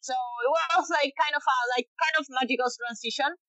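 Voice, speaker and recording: A woman's voice: high-pitched, fairly bright tone, no echo. A woman in her late twenties with a Chilean accent, talking casually in an interview and often stopping to restart her sentences. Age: late 20s. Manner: halting, many restarts and fillers. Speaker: guest, professional bodyboarder.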